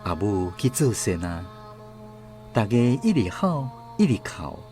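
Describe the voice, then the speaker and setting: A man's voice: fairly low pitch, sing-song, unhurried. A man playing a grown child looking back fondly, narrating a literary radio drama with a Taiwanese accent. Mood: sorrowful. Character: grown child fondly remembering a hardworking mother. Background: soft guitar music.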